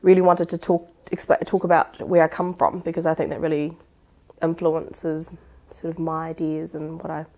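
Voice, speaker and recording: Low, creaky New woman. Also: level tone, moderate pace, warm tone. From Singapore-born, living in Auckland, New Zealand. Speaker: expert on supporting sexual violence survivors. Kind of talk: reflective explanatory interview talk.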